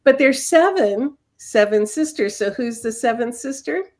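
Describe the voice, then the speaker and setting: A woman's voice: fairly high pitch, lively intonation, measured pace, darkish tone. A woman hosting a podcast, chatting in an upbeat, enthusiastic way as she interviews a guest. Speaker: podcast host.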